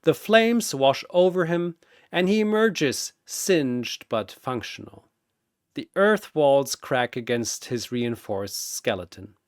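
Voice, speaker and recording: A man's voice: medium pitch, animated, slow, no room sound, studio quality. A man narrating a scripted fantasy battle as a dramatic storyteller.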